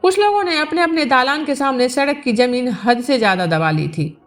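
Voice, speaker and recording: A woman with a fairly high voice reads a literary story aloud as a storyteller. Her delivery is scripted audiobook narration, with faint music underneath. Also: lively intonation, moderate pace. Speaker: storyteller reading a novel aloud.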